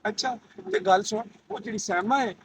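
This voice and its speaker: A man acts out a cheating husband in an amateur comic skit. He is a cowardly sweet-talker who gushes and pleads. Clear noise runs through the recording. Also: high-pitched, a little fast, natural voice. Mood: amorous, scheming. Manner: gushing, pleading, exclamatory. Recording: noisy amateur location recording.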